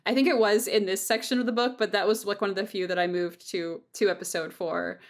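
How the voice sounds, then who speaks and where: A woman's voice: mid-range voice, steady tone, fairly quick. A woman hosting a casual interview, thinking aloud in an informal, hedging way. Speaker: host and audio drama producer.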